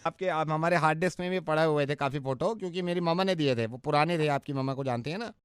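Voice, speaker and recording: A man puts on a high, clear-voiced young guy for a radio prank call. He is cheeky and overfamiliar, needling and bossing like a pest, in a close dry sound. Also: fast, no echo, natural voice. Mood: teasing. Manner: needling, bossy, falsely familiar. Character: young man, cheeky acquaintance pestering and threatening a woman.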